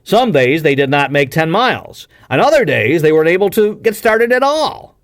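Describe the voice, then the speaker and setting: A man's voice: fairly high pitch, sing-song, moderate pace, dry recording, clean studio sound. A man reading a classic adventure novel aloud as an audiobook narrator, with a dramatic, emphatic storytelling delivery. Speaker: audiobook reader.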